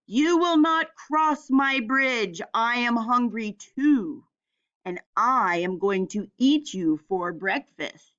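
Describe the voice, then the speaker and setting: Woman putting on a mean, greedy shouting troll. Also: a little high, expressive, dull audio. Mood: menacing, shouting. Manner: shouting, menacing, drawn-out words. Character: mean, greedy bridge troll bellowing threats.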